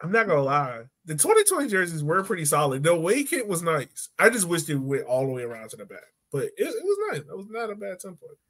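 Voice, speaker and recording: A man's voice: a little high, huge pitch swings, fast, few pauses, a bit bright. A man in his thirties with an American accent, hosting a casual sports chat in a jokey, opinionated way. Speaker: podcast host. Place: room at home. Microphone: video call.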